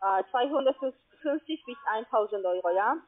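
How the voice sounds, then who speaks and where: A woman with a foreign accent in German, giving a halting telephone sales pitch and often ending phrases on questioning tags. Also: lively intonation, noisy background. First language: not German. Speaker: call centre agent. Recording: tinny narrow phone line.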